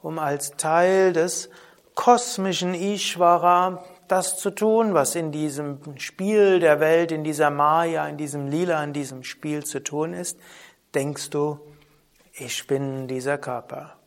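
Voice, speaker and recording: Guy with a slightly high voice, calm measured lesson, soft questioning hm's. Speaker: yoga philosophy teacher. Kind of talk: spoken lesson on Vedanta terms.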